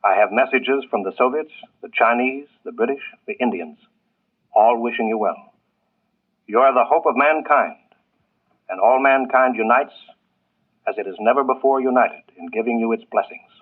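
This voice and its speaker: An actor playing a solemn launch tower controller making official announcements in a 1950s radio drama. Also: male voice, mid-range voice, normal speed, narrow, tinny audio, natural voice.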